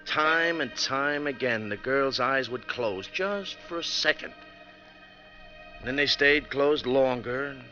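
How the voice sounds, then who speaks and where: Tough wry bus driver telling his story, piercing forceful voice, thin old 40s radio sound, faint music. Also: medium pitch, highs cut off. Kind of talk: 1940s radio acting, first-person narration. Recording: thin, band-limited 1940s radio recording.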